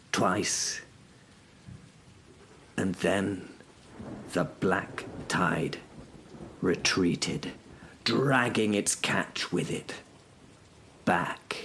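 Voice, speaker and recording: A man plays a man at the end of his strength after holding the abyss open. He tells the story slowly, in a low, gravelly voice. His grim delivery keeps slipping into whispers. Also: slight background noise, heavily acted. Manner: grim, hushed, often whispering. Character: exhausted man who held the abyss open. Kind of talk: dark horror audio drama narration.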